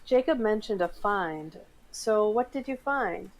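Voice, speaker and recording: A woman's voice: mid-range voice, animated, unhurried, slight background noise. A woman playing an impatient, skeptical surgeon in a screenplay read, matter-of-fact and questioning. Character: impatient, skeptical female surgeon named Alice. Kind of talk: script table read for a screenplay.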